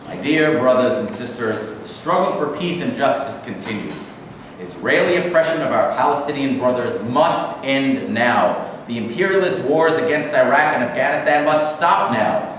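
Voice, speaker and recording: A man's voice: medium pitch, lively intonation, normal speed, fairly bright tone, lightly acted. A man playing an earnest socialist activist in a staged reading, making declamatory, sloganeering speeches. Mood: indignant. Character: earnest, preachy socialist activist leading a meeting. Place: live staged reading in a reverberant room. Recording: very echoey hall from far off, faint noise.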